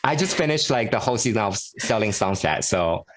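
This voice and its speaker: Low-voiced, frank, rambling man. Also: lively intonation, brisk. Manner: frank, rambling, self-mocking. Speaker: guest YouTuber. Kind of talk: casual podcast chat.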